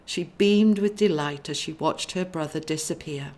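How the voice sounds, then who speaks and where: A woman's voice: sits low, normal speed, darkish tone. A woman author reading her own novel as scripted audiobook narration.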